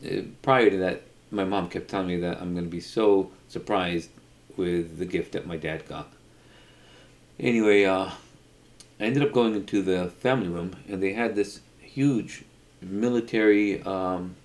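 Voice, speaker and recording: A middle-aged man telling an unscripted personal story in a halting way, often repeating words as he goes. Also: sits low, a little mellow. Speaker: storyteller, video creator.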